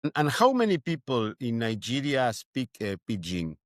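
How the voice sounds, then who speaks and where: A man hosting a casual interview in halting, non-native English, often repeating words as he builds his questions. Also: mid-range voice, wildly swooping pitch, no room sound, clean studio sound. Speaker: podcast host, interviewer.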